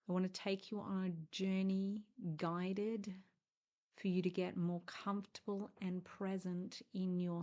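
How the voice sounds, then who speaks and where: A woman guides a meditation in a very dark, mellow voice. She speaks extremely slowly, leaving long pauses between short phrases. Her tone stays even and hushed, gentle and soothing throughout. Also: mid-range voice.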